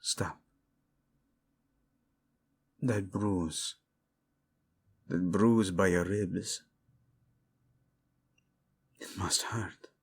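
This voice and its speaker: A male voice actor playing a devoted, possessive royal husband in an intimate romantic audio roleplay, with a husky low voice and formal, teasing speech. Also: fairly even intonation, a little hiss or hum, heavily acted. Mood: concerned. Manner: slow, hushed, teasing, formal wording.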